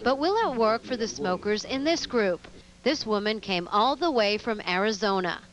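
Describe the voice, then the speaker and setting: Woman news reporter, mid-pitched, steady scripted voice-over. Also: dull audio, slight background noise. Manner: measured, clear delivery.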